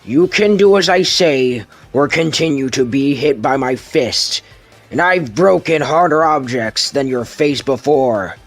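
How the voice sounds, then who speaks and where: A man voicing a brutal villain boss in an amateur comic dub, threatening, pompous and deliberate. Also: mid-range voice, animated, soft background music. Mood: menacing. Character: menacing brutal villain boss interrogating a captive.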